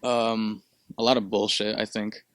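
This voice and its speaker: Chill young dude from peru, low voice, dry close sound, rambles with lots of ums and cussing. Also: male voice, little pitch movement, a little slow, no room sound. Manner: laid-back, rambling with many fillers and profanity. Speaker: musician guest. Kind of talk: casual interview.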